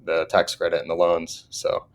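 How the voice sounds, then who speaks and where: A man's voice: deep voice, monotone, normal speed. A man in his thirties with an English accent that has gone partly American, telling stories as a podcast guest and laughing often. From England. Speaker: guest, solar sales manager. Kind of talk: casual podcast interview.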